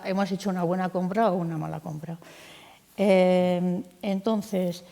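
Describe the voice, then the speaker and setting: A woman's voice: mid-range voice, darkish tone. A middle-aged woman, an architect moderating a round-table, who talks at length in a discursive way with fillers and restarts. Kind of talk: round-table panel introduction. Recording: echoey hall.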